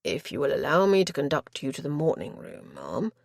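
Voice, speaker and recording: A woman voicing a calm, formal older male butler who speaks in a hushed, soothing tone. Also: very deep voice, a little fast, gravelly, no echo, clean studio sound, voice pushed down, exaggerated voice. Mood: placating.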